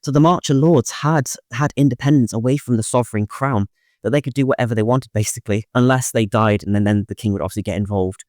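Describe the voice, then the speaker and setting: A man's voice: medium pitch, fast talker, darkish tone, dry recording, clean studio sound. A young man from the Welsh borders, a podcast guest explaining things earnestly and laughing often. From Welsh borders (Shropshire and Powys). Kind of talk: casual interview talk.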